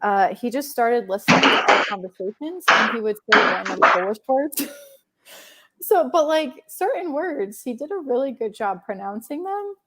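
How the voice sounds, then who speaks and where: A woman's voice: on the high side, lively intonation, normal speed, a bit bright, a little hiss or hum, rough recording. A young woman hosting a casual livestream chat, rambling with many fillers and laughing often. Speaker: livestream host.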